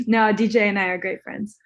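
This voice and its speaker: A woman's voice: mid-range voice, lo-fi sound, natural voice. A woman playing a vain, laid-back young male movie star, hesitant and defensive, in a comedy table read. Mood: flustered.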